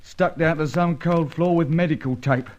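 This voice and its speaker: A little grumbling sidekick from a vintage radio detective show, played by a man. His voice is on the high side, plaintive and full of slang. The recording is dull and dated. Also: moderate pace, lightly acted. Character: little, grumbling, nervous male cockney-style sidekick. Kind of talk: old-time radio detective drama acting.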